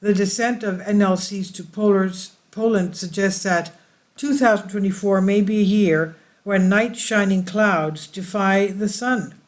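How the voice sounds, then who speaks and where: A host reading space weather news aloud in a halting way, stumbling over some words. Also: medium pitch. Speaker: video channel host.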